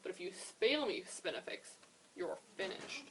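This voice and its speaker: A woman's voice: mid-range voice, a little fast, darkish tone, distant mic, slight background noise, close to an everyday voice, poor audio. A woman voicing a stern, impatient king in a read-aloud storybook, commanding and threatening.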